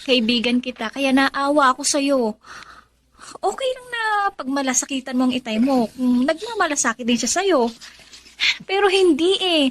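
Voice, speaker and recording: High-voiced, concerned friend. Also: female voice, expressive, moderate pace, a little hiss or hum. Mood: concerned, chiding. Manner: caring, reproachful. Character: concerned, frank young female friend. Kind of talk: Tagalog radio drama acting.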